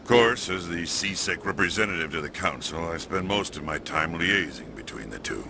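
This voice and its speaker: An actor voicing a stern, distrustful alien police executive in a video game, speaking in a formal, curt and disdainful manner. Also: male voice, sits low, expressive, moderate pace, creaky, background noise. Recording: game music behind the voice.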